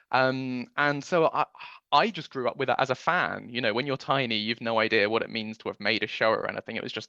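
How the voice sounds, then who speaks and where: A man's voice: mid-range voice, gravelly, bright, forceful tone, no echo, lo-fi sound. A man giving chatty, enthusiastic interview answers as a producer, recounting memories in a conversational way.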